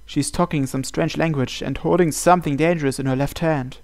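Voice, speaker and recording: A man's voice: fairly high pitch, steady tone, a little fast. A man hosting a gaming video, reading visual novel narration aloud. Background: soft game music.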